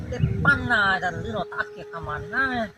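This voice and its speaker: A man with a strikingly high voice trades teasing banter in casual vlog chat. His tone is playful throughout. Clear background noise sits under the recording. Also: expressive, a little slow. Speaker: channel co-host.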